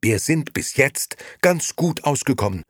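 A man's voice: mid-range voice, expressive, a little slow, fairly bright tone, breathy edge, dry recording, clean studio sound, pitched above the performer's own voice. A man voicing a brusque, indignant woman, an innkeeper's fiercely loyal wife, in a scolding audiobook character voice. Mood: defiant.

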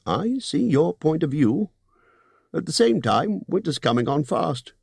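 Guy doing a high squeaky fussy hobbit, very lively, dry close sound. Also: a little slow, vocal fry, breathy edge, no room sound, heavily acted. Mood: persuasive. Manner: businesslike, squeaky, anxious. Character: fussy, polite male hobbit burglar.

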